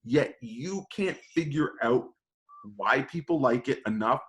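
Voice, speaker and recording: A man's voice: mid-range voice, expressive, a little slow, fairly bright tone. A man in casual podcast debate, arguing his point in an insistent, emphatic way. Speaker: podcast panelist.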